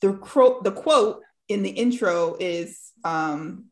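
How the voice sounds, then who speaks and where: A woman's voice: mid-range voice, animated, takes it slowly. A woman hosting a podcast in casual chat, teasing and laughing a lot. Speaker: podcast host.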